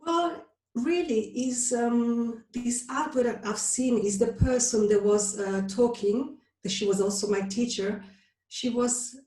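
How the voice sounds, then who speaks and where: A woman's voice: medium pitch, dark tone. Swiss-Italian woman, roomy distant sound. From Switzerland. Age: 40s. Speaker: guest, face yoga instructor. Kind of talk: casual podcast interview. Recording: roomy sound, voice away from the mic, faint music.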